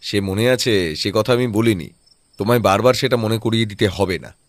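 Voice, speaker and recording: An actor playing a composed, analytical private detective in an audio drama, reasoning aloud in a measured way. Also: male voice, fairly low pitch, no room sound, close to an everyday voice. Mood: evasive.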